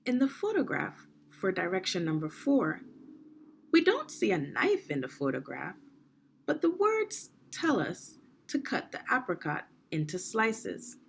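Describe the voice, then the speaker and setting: A woman reading aloud as a teacher for young children, explaining things deliberately and checking in with questions. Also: medium pitch, wildly swooping pitch, creaky, a little hiss or hum.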